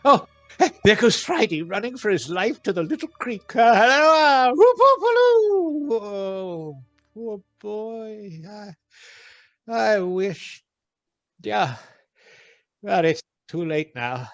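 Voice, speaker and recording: A woman acting an excitable old miser reliving his boyhood in a radio play, stammering and exclaiming in theatrical style. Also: mid-range voice, slow, no room sound, soft background music. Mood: wistful, regretful.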